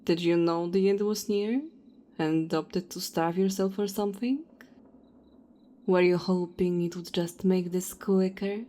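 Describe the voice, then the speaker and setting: A woman's voice: on the low side, fairly even intonation, unhurried, warm tone, close to an everyday voice. A woman playing a cold, self-righteous vampire hunter in an audio roleplay, taunting and contemptuous. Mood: puzzled, mocking.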